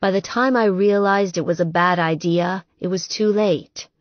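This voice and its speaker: A woman's voice: medium pitch, fairly even intonation, moderate pace, dry recording, muffled recording, close to an everyday voice. A woman voicing a contrite, determined man, the teasing hero of an audiobook romance, earnest and coaxing.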